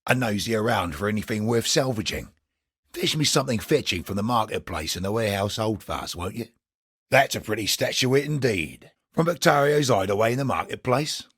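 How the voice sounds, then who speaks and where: An actor voicing a roguish, wry underworld trader in a video game, gravelly voice and sardonic, mock-courteous banter. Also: male voice, fairly low pitch, expressive, brisk, a bit bright, dry recording, studio quality, exaggerated voice. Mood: casual, wheedling. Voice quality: gravelly, rough-edged. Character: roguish, wry male underworld trader in a grim exile city. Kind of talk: video game character voice acting.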